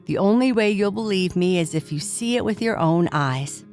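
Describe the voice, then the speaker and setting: A woman voicing an earnest, excitable young girl who pleads with her mother in a children's bedtime story. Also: mid-range voice, normal speed, soft background music, close to an everyday voice. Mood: insistent.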